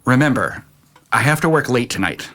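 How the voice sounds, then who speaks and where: Father role for a male actor: middle-aged man, medium pitch, reasonable, doubtful, firm when needed. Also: expressive, normal speed, a bit bright, close to an everyday voice. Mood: conciliatory. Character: skeptical, stern but reasonable middle-aged husband and father. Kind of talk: audio drama dialogue.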